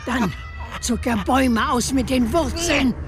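Slow, higher-voiced woman reciting a folk legend. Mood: dramatic, suspenseful. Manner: measured, expressive, theatrical storytelling. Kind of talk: rhymed verse narration in a radio drama.

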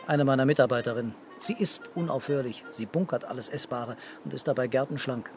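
An actor playing a terse, matter-of-fact police commissioner who asks probing questions in a radio drama. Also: male voice, medium pitch, fairly quick, a little mellow, soft background music, close to an everyday voice. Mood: dry, pondering. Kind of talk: naturalistic radio drama acting.

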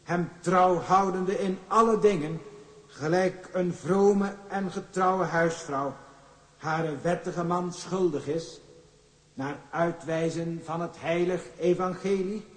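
An actor playing a solemn, formal minister reciting wedding vows in ceremonial style, in a drama. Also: male voice, high voice, takes it slowly. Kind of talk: ceremonial declamation. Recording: echoey hall with long reverb.

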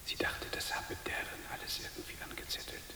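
A man playing a troubled middle-aged father in a radio drama, halting and repeating himself as he clings to hope. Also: whispered, lightly acted. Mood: troubled, uncertain. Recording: hissy, echoey reverberant hall.

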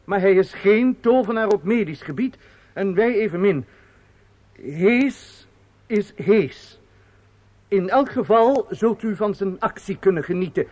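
Self-satisfied theatre impresario for radio play: high-pitched, very animated, flustered and pompous. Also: male voice, a little slow, no room sound. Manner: hesitant and muttering offstage, pompous in speeches. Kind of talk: radio drama acting.

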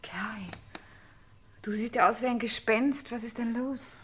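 An actress playing a young, concerned woman beside the hero in a German radio crime drama, softly questioning. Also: on the high side, fairly even intonation, measured pace, background noise, lightly acted.

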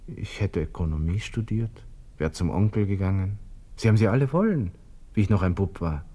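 An actor playing a despairing young army lieutenant with an Austrian accent, talking to himself haltingly in a radio drama monologue. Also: male voice, low-pitched, expressive, dark tone, slightly rough. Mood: anguished, reflective. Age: 20s.

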